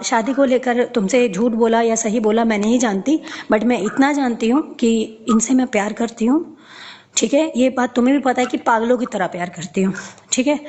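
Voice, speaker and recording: A woman's voice: on the high side, a little mellow. A woman in a heated personal conversation on a recorded phone call, speaking insistently and repeating herself to take the floor.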